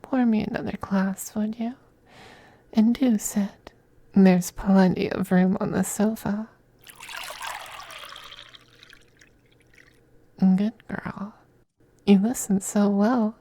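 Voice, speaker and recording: A man playing a possessive, teasing femboy vampire in an intimate ASMR roleplay, speaking softly and breathily, often in a whisper, with coaxing, condescending affection. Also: high voice, steady tone, takes it slowly, vocal fry, dry recording, heavily acted. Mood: possessive, tender. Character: possessive, teasing, doting femboy vampire master styled as mistress.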